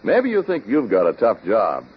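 A man working as an old-time radio announcer, delivering sponsor pitches and story links. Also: wildly swooping pitch, moderate pace, slightly rough. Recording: dull old radio recording.

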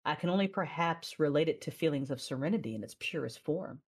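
A low-pitched female voice carries this sci-fi audio drama. She is a resolute, battle-hardened warrior recounting her own story. The delivery is measured and dramatic, like a memoir told aloud. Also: lively intonation, breathy edge. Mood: solemn, tender. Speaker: narrator and lead character. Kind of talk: first-person dramatic narration in an audio drama.

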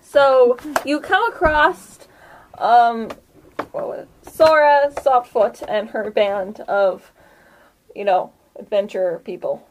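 A woman's voice: on the high side, slow pace, rough recording. A woman narrating a casual tabletop roleplaying game as game master, with some noise behind her.

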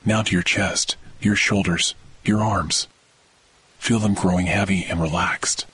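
A man hosting a guided sleep meditation, speaking in a soothing, measured, scripted delivery. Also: fairly even intonation, fairly bright tone, slightly rough, low-quality recording. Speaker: meditation podcast host. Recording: thunder ambience under the voice. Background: thunder rumbles.

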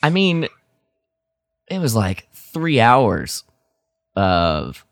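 A man co-hosting a podcast, chatting casually in halting bursts. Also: mid-range voice, very animated, dry recording. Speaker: podcast co-host. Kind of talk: casual chat.

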